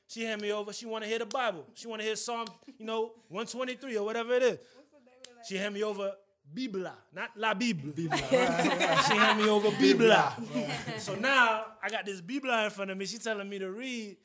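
A young man co-hosting a casual podcast chat, animated and repeating himself as he tells stories. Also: sits high, a little fast. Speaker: podcast co-host.

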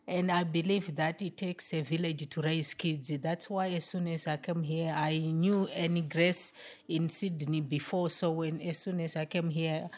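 A woman with a low voice and a Zimbabwean accent, middle-aged, giving an interview as a mother. Her first language is Shona, and she speaks earnestly and circles back to stress her points. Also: steady tone, unhurried, darkish tone. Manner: earnest, repeats key points. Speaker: mother in a migrant community group. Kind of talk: interview answer.

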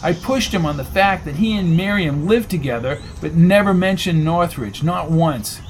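A confident, needling football coach from an audiobook, read by a man. He probes with sardonic ease in a moderately high male voice. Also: lively intonation, normal speed, slight background noise, lightly acted. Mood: earnest, probing. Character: Jones, cocky, needling male football coach and amateur sleuth.

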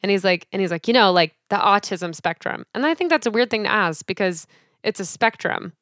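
Young woman gabbing on a podcast, lots of "like", acts out everyone, medium pitch, dry. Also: fairly quick, dry recording. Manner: animated, acts out quoted people, lots of "like". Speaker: podcast co-host. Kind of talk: casual storytelling chat.

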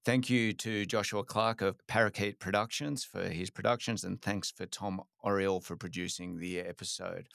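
A man hosting a podcast interview, speaking in a measured, steady way with a few fillers. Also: deep voice, creaky, dry recording, studio quality. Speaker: podcast host.

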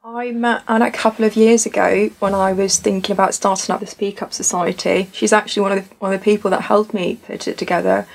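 A woman's voice: medium pitch, little pitch movement, fast, gravelly, few pauses, low-quality recording. A young woman from Tunisia, a student society founder, talking earnestly and reflectively in a podcast conversation.

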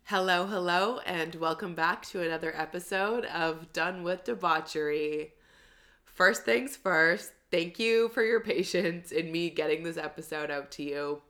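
Woman, podcast host, slightly congested, lowish pitch, casual, candid, chatty. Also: unhurried, a bit bright. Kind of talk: solo podcast monologue.

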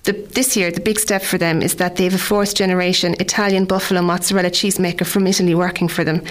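A woman's voice: fairly low pitch, flat delivery, quick pace. An Irish woman in her forties, chatting casually on radio about food, rambling with frequent restarts. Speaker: food contributor.

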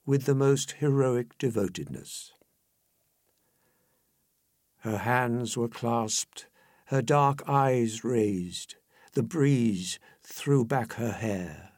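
A man reading poetry aloud in a theatrical, solemn and measured recitation style. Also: mid-range voice, a little mellow, slightly rough, dry recording, clean studio sound. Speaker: poetry reader.

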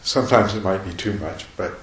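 A man with a lowish voice gives a dharma talk at a retreat, talking in loose, rambling sentences full of restarts and soft chuckles. His voice carries in an echoey room over a faint hiss. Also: fairly quick. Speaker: meditation teacher. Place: retreat meditation hall.

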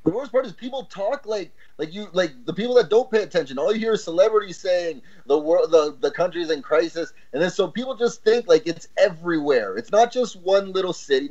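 Fairly high male voice, muffled lo-fi. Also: darkish tone. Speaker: podcast participant. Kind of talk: casual opinionated chat. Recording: dull lo-fi audio.

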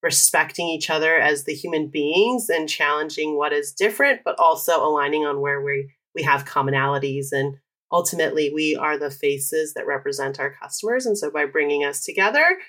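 Woman guest, low register, methodical and example-heavy explainer. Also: fairly bright tone. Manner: measured, explanatory, uses many examples. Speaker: employee resource group site lead. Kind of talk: podcast interview guest.

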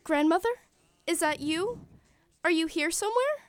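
Radio play: polite, hopeful little match girl, high-pitched adult woman acts her, earnest, formal delivery, dry close sound. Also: expressive, normal speed, dry recording. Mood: yearning, wondering. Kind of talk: stage-style radio play acting.

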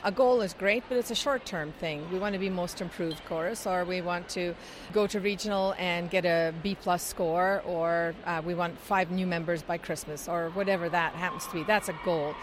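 A middle-aged woman from Scotland explains things patiently in an interview, her voice sitting fairly low. She pads her points with small fillers, and a faint hum of traffic lies behind her. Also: fairly even intonation, normal speed. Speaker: interviewee, chorus singer. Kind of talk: interview answers.

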